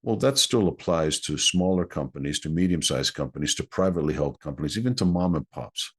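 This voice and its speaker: A middle-aged man whose first language is Greek, a consultant guest in an interview, explaining things in an earnest, measured way. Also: very deep voice, slightly rough. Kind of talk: interview conversation.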